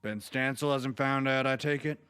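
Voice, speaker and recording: A man playing a measured, watchful western marshal in a radio drama, noncommittal in manner. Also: mid-range voice, fairly bright tone, smooth, natural voice. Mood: measured, curious. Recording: guitar music behind the voice.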